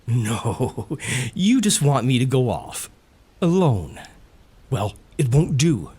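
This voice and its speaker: A man playing a brooding, wounded, passionate young stable boy with a husky voice in an audio drama. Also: mid-range voice, big pitch swings, slow pace, a little mellow, exaggerated voice. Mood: bitter, yearning.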